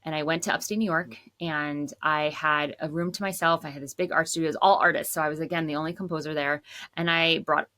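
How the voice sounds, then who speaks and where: A woman in her thirties, a songwriter and composer, chatting casually about her work in a low voice with lots of "like.". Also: fast. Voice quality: says she has a low voice. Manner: chatty, says "like" often, relaxed. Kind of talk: casual storytelling to camera.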